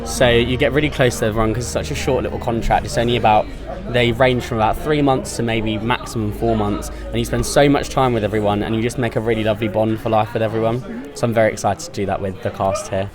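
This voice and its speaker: A man's voice: fairly low pitch, fairly even intonation, quick pace, hardly any pauses. A male pantomime actor answering interview questions in a friendly, enthusiastic way, with frequent ums. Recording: interview with music playing behind. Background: background music.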